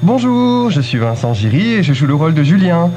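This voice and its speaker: A man from the Bordeaux region chats as a guest on a radio course. His voice swings lively up and down, and he sounds cheerful and eager. A faint hum sits behind him. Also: on the high side, a little fast. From Bordeaux region, France. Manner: cheerful, enthusiastic recommendations. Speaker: actor in a course drama, guest. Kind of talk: casual guest greeting.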